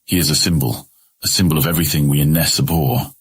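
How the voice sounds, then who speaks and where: An actor playing a scheming, condescending noble brother who mocks his younger sibling with cold sarcasm in a husky voice. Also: rumbling low, steady tone, quick pace, a little hiss or hum. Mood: lecturing. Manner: smug, mocking, coldly sarcastic. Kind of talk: audio drama acting.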